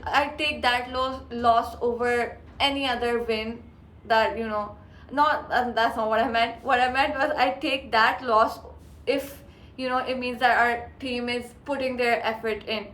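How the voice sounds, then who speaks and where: A woman's voice: fairly high pitch, steady tone, a little slow, fairly bright tone. A woman co-hosting a podcast in casual chat, slipping Urdu phrases into her English. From Pakistan. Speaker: podcast co-host. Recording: echoey room.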